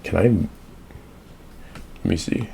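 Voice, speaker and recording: A young man with a hoarse voice, just over an illness, giving a rambling casual tutorial on automations and correcting himself as he sketches on an iPad. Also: extremely slow, dark tone. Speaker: automation consultant. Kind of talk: casual screen-share tutorial.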